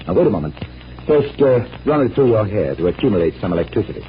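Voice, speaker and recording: A man playing a calm, assured magician detective, measured and instructive, in old-time radio drama style. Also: on the low side. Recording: muffled vintage radio recording.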